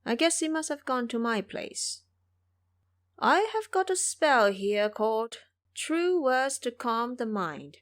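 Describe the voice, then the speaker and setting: A woman's voice: fairly high pitch, no echo, studio quality. A woman voicing a kindly, mysterious old woman in an audio drama, solemn and instructive.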